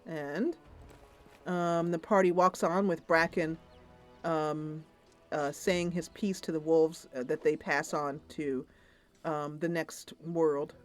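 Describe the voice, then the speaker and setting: A woman's voice: sits low, steady tone, slow, faint music behind. A woman streamer improvising story narration over a video game in a loose, conversational way.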